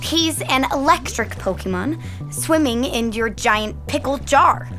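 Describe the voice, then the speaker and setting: Eager, bright-voiced kid detective. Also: female voice, high voice, lively intonation, a little slow, soft background music. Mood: excited, focused. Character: Niels, keen determined kid detective leading friends. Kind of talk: audio drama dialogue.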